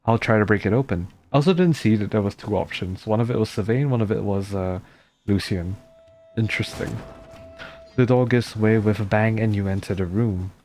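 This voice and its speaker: A man's voice: fairly low pitch, a little fast, darkish tone, soft background music. A man commentating casually on a game playthrough, reading out text and adding informal, reactive asides. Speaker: game streamer.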